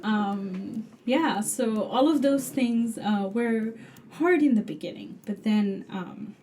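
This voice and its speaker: A woman's voice: fairly high pitch, takes it slowly, dark tone, slight background noise. A woman from Bangladesh with a Bangladeshi accent, a PhD student explaining her research thoughtfully with many fillers in an interview. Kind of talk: interview answer.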